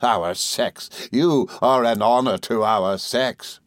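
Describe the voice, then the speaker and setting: A man reading a classic novel, voicing a hateful, imperious gentlewoman with a cold, stern, sharp tone and contemptuous manner. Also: mid-range voice, slow, no room sound, studio quality, close to an everyday voice. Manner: contemptuous, resolute, theatrically fierce. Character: hateful, imperious, scornful young gentlewoman villain.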